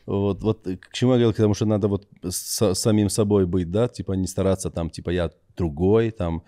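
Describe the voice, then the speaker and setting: A man, a guest in a casual chat, telling stories in a lively way with street slang. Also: deep voice, a little fast. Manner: animated storytelling with street slang.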